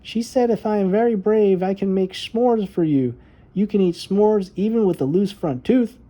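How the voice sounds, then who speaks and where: A man's voice: high voice, normal speed, mellow, voice pushed up, natural voice. A man voicing an earnest, determined little girl in a children's storybook read-aloud.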